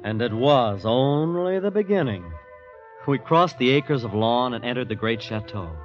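A man's voice: mid-range voice, animated, normal speed, faint music behind, natural voice. An actor playing an earnest, wide-eyed young American visitor who marvels and narrates his tale in a vintage radio drama. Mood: awed narration. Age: young adult. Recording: muffled vintage radio recording.

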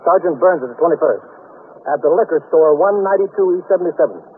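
A man's voice: on the high side, lively intonation, quick pace, lightly acted. A man with an American accent playing a businesslike police desk sergeant in a 1950s radio drama. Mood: brisk, urgent. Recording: tinny, faintly hissy 1950s radio recording.

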